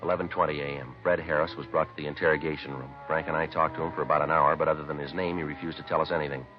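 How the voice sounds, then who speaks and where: An actor playing a terse, businesslike American homicide detective, deadpan and matter-of-fact, in a 1950s radio police drama. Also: male voice, a bass voice, very fast, dull audio. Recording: vintage radio recording, band-limited, music behind.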